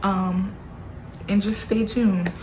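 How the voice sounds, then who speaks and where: A woman's voice: mid-range voice, fairly even intonation, slow pace, a little mellow. Chatty woman vlogger, noisy room. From Haitian family background. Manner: chatty, playful, lots of y'all and baby girl asides. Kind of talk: casual direct-to-camera update. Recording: audible background noise.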